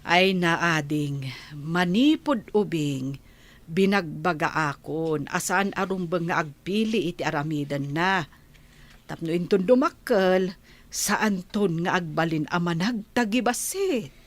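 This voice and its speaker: A woman acts as an older village mother in an Ilocano radio play. Her voice sits a little low. She is homely and sympathetic, the sort who hands out advice, and has an Ilocano accent. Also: animated, a little slow. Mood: advising. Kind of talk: Ilocano radio comedy drama acting.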